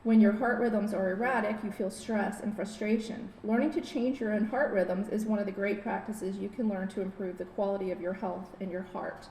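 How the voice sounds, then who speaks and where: A woman's voice: steady tone, moderate pace, few pauses, mellow. A middle-aged woman who works as a biofeedback therapist, giving a friendly, informal wellness talk with slides at a podium microphone in a conference room, with many fillers and restarts. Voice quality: says she has a very low voice. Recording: roomy hall, faint noise.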